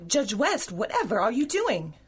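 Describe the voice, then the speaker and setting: A devoted, fretful secretary from an old radio serial. Her voice is on the high side and rather breathy, with an earnest, theatrical 1930s delivery and a feisty streak. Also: huge pitch swings, normal speed, full character voice. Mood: worried scolding.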